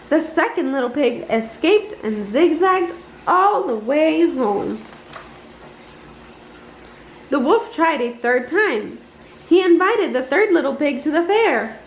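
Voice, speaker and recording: A woman reading a children's picture book aloud with an expressive, deliberate storytelling delivery in an indoor room. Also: sits high. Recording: roomy, dull home recording with noise.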